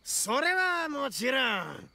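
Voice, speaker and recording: A man's voice: very high-pitched, very animated, slow, gravelly, very noisy, exaggerated voice. A man voicing a brash, boastful young oni gang boss with a swaggering, theatrical delivery in a game. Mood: blustering.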